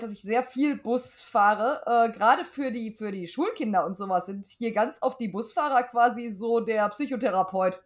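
Higher-voiced woman in casual conversation. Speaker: podcast co-host. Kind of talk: casual podcast chat.

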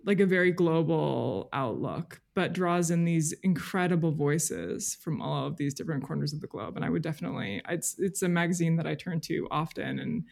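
Creaky-voiced woman in a thoughtful interview. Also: sits low, normal speed, few pauses, darkish tone. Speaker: podcast guest, journalist. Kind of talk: casual interview conversation.

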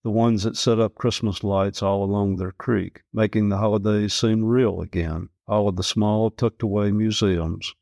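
A man's voice: fairly low pitch, fairly even intonation, normal speed, vocal fry, hardly any pauses, a little mellow, dry recording, studio quality. A man reading a literary travel story aloud as scripted narration.

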